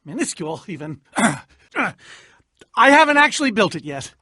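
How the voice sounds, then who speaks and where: An eccentric game inventor with a very animated, swooping delivery. He is boastful but jittery, wordy and theatrical, often trailing into hesitant asides. The sound is close and dry. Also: high-pitched, normal speed, husky, no echo, heavily acted. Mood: sly, boastful. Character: eccentric, boastful, nervous male inventor and adventurer. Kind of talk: video game character voice acting.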